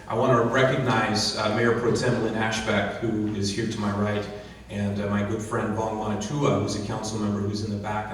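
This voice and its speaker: A man's voice: fairly low pitch, slight background noise. A man giving an earnest thank-you speech at a ribbon-cutting ceremony, with many fillers and restarts. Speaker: county official giving a speech. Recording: distant echoey outdoor PA sound.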